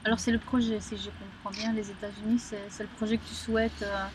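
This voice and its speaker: A woman's voice: medium pitch, level tone, fast. A woman interviewing a guest, asking questions and adding brief affirming interjections. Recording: distant mic with background noise.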